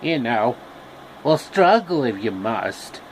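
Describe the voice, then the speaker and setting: Man acting talking scarecrow, droll, fussy, campy, slow pace, swooping intonation, storybook character, faint noise. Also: mid-range voice, sing-song, rough recording. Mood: wry, fussy.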